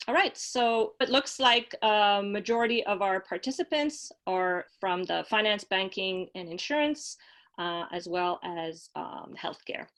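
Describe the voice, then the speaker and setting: Woman webinar host, friendly, chatty, ums and uhs, middle pitch. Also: unhurried, fairly bright tone. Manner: friendly, with frequent fillers. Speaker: webinar host from the marketing team. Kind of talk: hosting a webinar, reading poll results.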